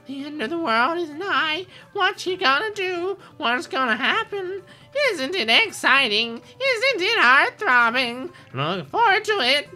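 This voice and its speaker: A woman's voice: sits high, sing-song, measured pace, bright, forceful tone, soft background music, voice pushed up, full character voice, rough recording. A woman voicing a mischievous villainous bear mascot from a video game, teasing and gleeful.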